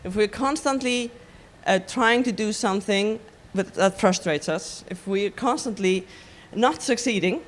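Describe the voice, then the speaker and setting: A woman from Iceland with an Icelandic accent, giving a conference talk in a halting way with many ums and restarts. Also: medium pitch. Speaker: conference speaker.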